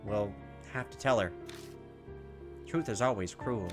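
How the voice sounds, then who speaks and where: A man's voice: lowish voice, measured pace, music in the background. A man hosting a gameplay video, reading visual novel text aloud with informal, joking asides.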